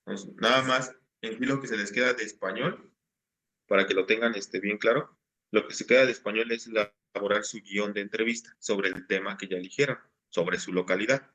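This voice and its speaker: A man's voice: on the low side, steady tone, fairly quick, sharp tone, poor audio. A man, patiently explaining assignments to his class over a video call. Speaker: teacher. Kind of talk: online class instruction.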